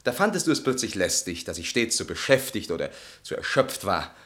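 An actor playing a resentful, self-absorbed, brooding husband in a German literary radio play, with an intense, articulate, theatrical delivery. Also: male voice, lowish voice, sing-song, fairly quick, fairly bright tone.

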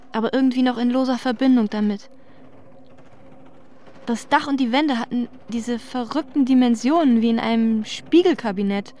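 Actress doing shaken woman telling what she saw, reflective radio drama narration, highish voice, faint ambience behind. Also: darkish tone, a little hiss or hum, natural voice. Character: shaken professional woman visiting a wrecked neighborhood. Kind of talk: German radio drama first-person narration.

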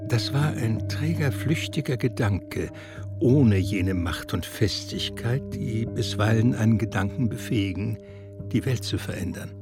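A man narrating a literary radio drama in a deliberate, theatrical way. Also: on the low side, over music.

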